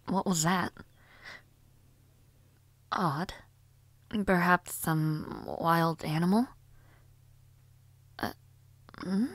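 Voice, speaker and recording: Young lady mage for audio roleplay, female actor: slightly low pitch, unhurried delivery, genteel, cheerful, headstrong. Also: dry recording. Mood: puzzled, curious. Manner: genteel, old-fashioned, chatty phrasing with soft laughs and sighs. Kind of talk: scripted audio roleplay drama.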